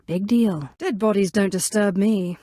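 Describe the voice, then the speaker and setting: A woman's voice: mid-range voice, a little slow, dark tone, no echo, close to an everyday voice. An actress voicing an imperious, coolly menacing female Sith in a video game, with a clipped, commanding delivery and dry sarcasm. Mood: dry, detached. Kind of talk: scripted game dialogue, standalone voice lines.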